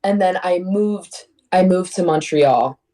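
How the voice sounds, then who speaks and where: A young woman from Connecticut chats casually as an interview guest. Her voice sits on the low side and sounds mellow and warm. She rambles, restarts her sentences, and laughs now and then. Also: slow. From Connecticut, USA. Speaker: singer-songwriter guest.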